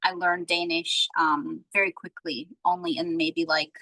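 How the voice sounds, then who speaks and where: A woman from the United States hosting an online office-hours call, chatting in a friendly way and quick with encouragement. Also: fairly low pitch, level tone, sharp tone, no echo, poor audio. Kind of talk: casual chat on a video call. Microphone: video call.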